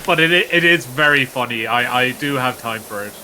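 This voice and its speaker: A man's voice: mid-range voice, normal speed, bright, forceful tone, a little hiss or hum. A man in his late twenties chatting casually on a game stream, with wry humor and frequent fillers. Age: late 20s. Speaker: co-host. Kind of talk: casual chat while gaming.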